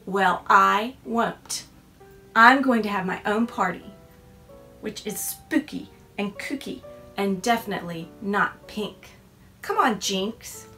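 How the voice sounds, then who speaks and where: A woman's voice: medium pitch, slow, sharp tone, soft background music. A woman reading as a stubborn little spooky girl. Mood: defiant. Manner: firm, matter-of-fact, defiant.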